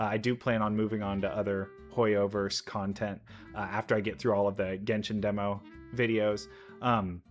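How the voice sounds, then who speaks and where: A man giving casual reaction commentary as a video creator watching game trailers. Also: lowish voice.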